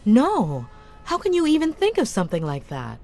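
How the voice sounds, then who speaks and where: A woman's voice: fairly high pitch, wildly swooping pitch, normal speed, warm tone, soft background music. An actress playing an anxious, polite woman in a 1950s noir game, speaking in an earnest, apologetic way. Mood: defensive. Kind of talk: dramatic game dialogue.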